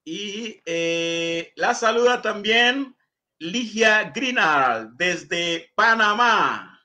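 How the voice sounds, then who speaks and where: Brief: middle-aged Honduran male host, high bright voice, courteous, reads viewer comments on a video call. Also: animated, extremely slow. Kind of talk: interview, reading viewer messages.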